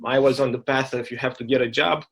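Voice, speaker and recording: A man with a Romanian accent speaks as an interview guest. His voice sits at a middle pitch. He recounts his own story in an earnest way, often repeating a phrase to drive it home. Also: fairly even intonation, fast, vocal fry.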